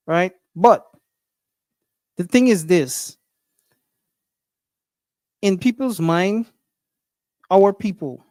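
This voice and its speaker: A man's voice: high voice, darkish tone, no echo, studio quality. A man with a Guyanese accent hosting a casual livestream, thinking aloud with many fillers and restarts. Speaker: livestream host.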